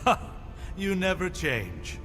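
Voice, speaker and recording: An animated, high-pitched, friendly godsmith. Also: male voice, takes it slowly, music in the background, exaggerated voice. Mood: friendly, sincere. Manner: expressive, friendly, philosophical. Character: warm-hearted, grateful godsmith, old friend of a warrior. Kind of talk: video game cutscene acting.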